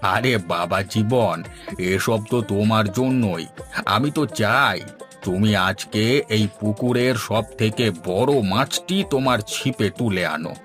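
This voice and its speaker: A man's voice: on the low side, takes it slowly, a bit bright. A man playing a genial, hospitable older village father-in-law in a cartoon folk tale, speaking in an indulgent, coaxing way. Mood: indulgent, encouraging. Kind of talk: Bengali animated folk-tale dialogue. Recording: music under the voice. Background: music.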